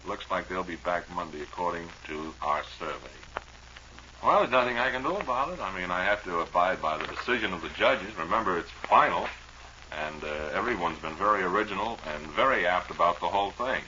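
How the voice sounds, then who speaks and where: A man's voice: low-pitched, expressive, brisk. A man hosting a radio show with wry, rambling, playful comic banter. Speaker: radio host. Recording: dull old radio recording, faint noise.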